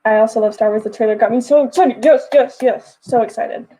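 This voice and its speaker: A woman's voice: on the high side, brisk, dark tone, breathy edge. A woman of twenty-one from Texas, chatting casually with her livestream viewers and answering their messages as they come in. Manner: chatty, reads chat messages aloud and answers them. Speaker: livestream host.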